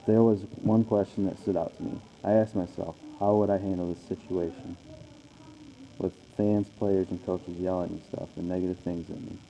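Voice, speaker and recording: A man's voice: low-pitched, level tone, normal speed, creaky, dark tone, lo-fi sound, a little hiss or hum. A man playing a 1940s baseball star in a radio interview, speaking in a plain, earnest, recited way. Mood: earnest, matter-of-fact. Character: famous 1940s male baseball player being interviewed. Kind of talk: amateur scripted radio interview.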